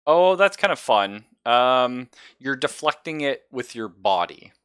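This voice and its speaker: A man's voice: medium pitch, big pitch swings, unhurried, fairly bright tone, clear, resonant tone, dry recording, clean studio sound. A man acting as game master, casually explaining rules and narrating the action of a tabletop role-playing game. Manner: explains rules conversationally, describes gory action.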